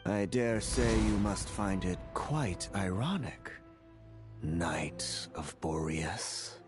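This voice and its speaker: A man playing a cool, mysterious chronicler in a video game, formal and wry in his deliberate delivery. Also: low voice. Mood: ominous irony. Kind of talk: anime video game dialogue. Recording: game music behind the voice. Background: game music.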